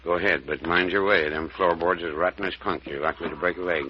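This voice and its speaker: An actor playing a folksy, grumbling older country doctor with a rural American drawl, in the style of a vintage radio serial. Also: male voice, low-pitched, steady tone, quick pace, lightly acted. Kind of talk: 1930s-40s American radio serial acting. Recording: dull, noisy old-time radio recording.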